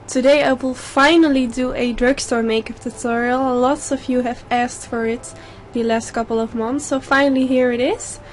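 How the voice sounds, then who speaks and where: A young woman with a slightly hoarse voice from a sore throat, calmly explaining each step of a makeup tutorial in a voice-over. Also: high-pitched, moderate pace, few pauses, a little hiss or hum. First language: Dutch. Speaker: makeup YouTuber.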